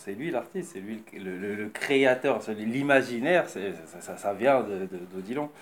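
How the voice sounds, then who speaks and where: A man, an investor behind a concept store, answering interview questions in quick, repetitive, stumbling talk. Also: on the low side, a little hiss or hum.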